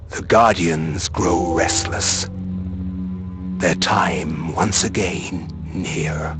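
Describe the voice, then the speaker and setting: Elderly dead psychologist narrating a horror game: slow, solemn, widely swooping pitch over music. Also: male voice. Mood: grave, foreboding. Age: older. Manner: portentous, deliberate, dramatic pauses. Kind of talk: theatrical scripted game narration. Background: dramatic music.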